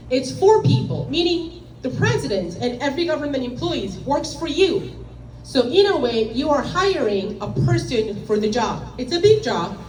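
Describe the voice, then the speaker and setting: A middle-aged woman from South Korea with a Korean accent, giving a loud, emphatic presentation to a meeting audience. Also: high-pitched. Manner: loud, emphatic, direct and plain-spoken. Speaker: guest speaker. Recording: echoey room from a distance with faint noise.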